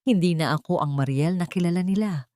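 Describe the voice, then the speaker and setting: A wronged young wife played by a woman narrator has hardened into a cold avenger. She speaks steadily and with resolve in an audio drama, her voice low and recorded dry. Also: brisk, a little mellow, no room sound, lightly acted. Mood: cold determination.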